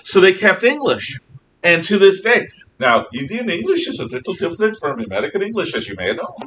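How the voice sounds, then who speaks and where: Male history teacher, bright forceful voice, swinging intonation, conversational classroom lecture, emphatic. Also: brisk. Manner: conversational, animated, emphatic explanations. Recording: noisy rumbling room, distant mic.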